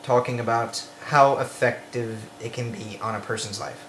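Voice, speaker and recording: A man's voice: medium pitch, steady tone, normal speed, fairly bright tone. Man hosting in an echoey room. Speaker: host of a comedy interview skit. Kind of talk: casual interviewing. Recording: echoey room with faint background noise.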